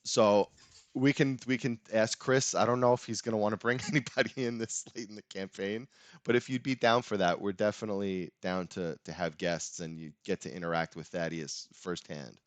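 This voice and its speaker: A man's voice: lowish voice, fairly even intonation, moderate pace, no echo. A man hosting a casual tabletop gaming talk show. He speaks in a loose, rambling way and laughs often. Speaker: podcast host. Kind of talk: casual chat.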